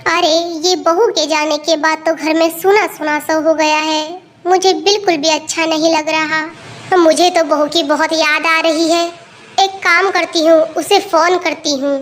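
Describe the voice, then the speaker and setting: A woman's voice: very high-pitched, fairly even intonation, unhurried, a bit bright, pitched above the performer's own voice. A woman voicing an older, bossy mother-in-law in a Hindi cartoon story, with an emphatic way of talking. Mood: wistful, affectionate. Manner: scolding, sarcastic, emphatic.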